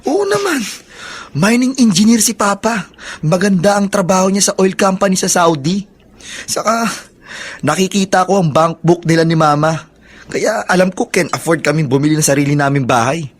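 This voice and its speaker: A man playing an irritable, stubborn young son in a Filipino audio drama, with a husky voice. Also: high voice, lively intonation, moderate pace. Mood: annoyed. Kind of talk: Filipino radio-style drama acting.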